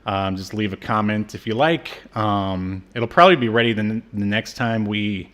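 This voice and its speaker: A man's voice: on the low side, moderate pace, a bit bright. A man co-hosting a podcast in casual chat, with a joking, teasing manner. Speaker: podcast co-host.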